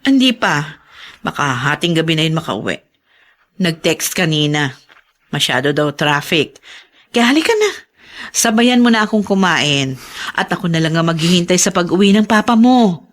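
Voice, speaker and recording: A woman playing a caring middle-aged mother in a radio drama, gentle and reassuring in manner. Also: fairly low pitch, big pitch swings, normal speed, pauses often. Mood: matter-of-fact.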